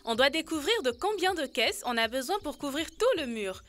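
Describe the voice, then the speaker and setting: A woman's voice: sits high, sing-song, normal speed, a bit bright. A woman voicing an earnest, clever young girl who explains things in a French children's cartoon. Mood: eager. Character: earnest, clever young schoolgirl taking charge.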